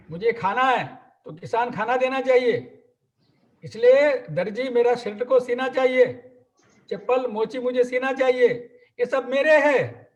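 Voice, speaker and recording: A man giving an ideological talk at a gathering, building his points through long repeated lists in a slow, emphatic cadence. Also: extremely high pitch. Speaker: speaker at an organisation's gathering. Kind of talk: ideological lecture. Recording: dull lo-fi recording.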